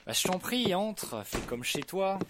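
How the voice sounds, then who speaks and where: A man's voice: high-pitched, sing-song, measured pace, heavy background noise. A young man playing a wry, put-upon boyfriend who complains conversationally in a comedy audio drama. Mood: exasperated. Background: plucked guitar music.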